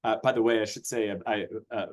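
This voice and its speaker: A man from Austria walks teachers through a curriculum on a video call. His voice sits a little low, and he speaks in a friendly, unpolished way, often pausing on fillers and restarting his sentences. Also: fairly even intonation. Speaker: curriculum presenter. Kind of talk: webinar presentation.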